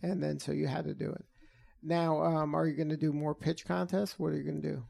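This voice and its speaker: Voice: medium pitch, little pitch movement, a little fast, gravelly, warm tone. An interviewer asking curious, chatty questions and laughing often.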